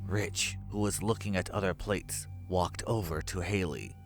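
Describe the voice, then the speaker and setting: A man's voice: low-pitched, steady tone, unhurried, soft background music. A man narrating a scripted horror story.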